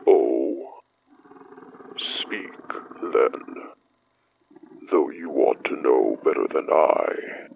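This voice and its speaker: An actor playing an ancient giant beast spirit in a horror audio drama, cryptic and predatory, with a growling, hissing voice and archaic phrasing. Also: male voice, expressive, phone-quality sound, exaggerated voice. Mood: wry, menacing. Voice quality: deep, gravelly growl with breathy hissing. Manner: slow, archaic, ominous phrasing.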